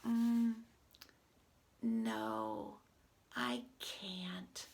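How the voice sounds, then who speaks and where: A woman voicing a doubtful, earnest, stubborn cow in a children's storybook, halting and reluctant. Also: medium pitch, very animated, vocal fry, a little mellow, full character voice. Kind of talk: children's storybook read-aloud.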